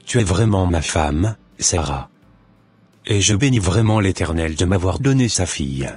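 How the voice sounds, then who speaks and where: A man playing a sincere, devout young suitor in an animated religious story, speaking in earnest, formal phrases. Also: low-pitched, moderate pace, breathy edge, soft background music. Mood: devoted.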